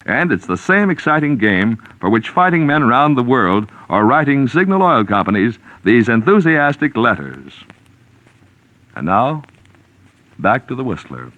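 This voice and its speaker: A dry, slightly dull vintage radio recording of an American male announcer. He sells in polished 1940s style, emphatic and carefully enunciated, with a very animated pitch. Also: a little slow, dry recording. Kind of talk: 1940s radio commercial read.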